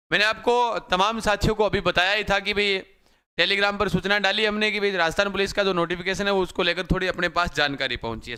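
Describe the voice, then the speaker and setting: A young man teaching exam students live. His voice is slightly hoarse, and he talks repetitively, often calling viewers brother. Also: high-pitched, pushed and bright. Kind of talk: live-stream class talk.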